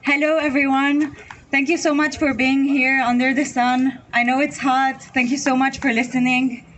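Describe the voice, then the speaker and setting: High-voiced woman from Lebanon at a rally. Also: flat delivery, moderate pace, a bit bright, a little hiss or hum. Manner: earnest, listing items one by one. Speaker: rally speaker. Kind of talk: prepared speech read to a crowd. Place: outdoor public square.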